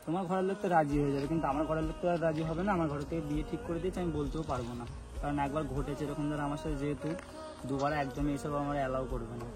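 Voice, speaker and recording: Insistent high-pitched young-sounding man over music. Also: fairly even intonation, racing. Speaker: prank vlogger. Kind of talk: staged serious phone conversation, casual. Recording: loud background music.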